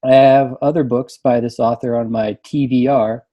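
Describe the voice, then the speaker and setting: A man's voice: medium pitch, fairly even intonation, normal speed, lo-fi sound. A man chatting casually on a book panel and reading short written reviews aloud.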